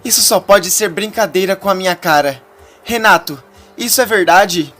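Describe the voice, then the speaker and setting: A man's voice: high-pitched, normal speed, bright, forceful tone, soft background music, pitched above the performer's own voice. A man voicing a betrayed young woman who is shocked and furious, shrilly screaming her lines in a retold soap-opera story.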